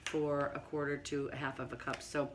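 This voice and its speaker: Hoarse low-voiced woman, quiet kitchen talk. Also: level tone, moderate pace, noisy background. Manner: quiet, partly whispered speech. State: hoarse from laryngitis. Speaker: home cooking host. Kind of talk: casual cooking demonstration. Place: home kitchen.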